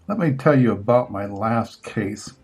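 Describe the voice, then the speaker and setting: Slow-reading grandfatherly man, slightly husky. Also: on the low side, lively intonation, darkish tone. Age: older. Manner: measured, pausing between short phrases. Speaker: grandfather reading picture books aloud. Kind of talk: children's storybook read-aloud.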